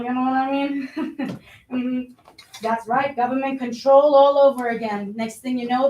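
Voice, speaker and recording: A woman's voice: high voice, darkish tone, natural voice. A woman playing a blustery, money-minded restaurant boss in a staged reading of a play. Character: pushy, money-minded restaurant boss, self-pitying and scheming. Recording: roomy, distant microphone.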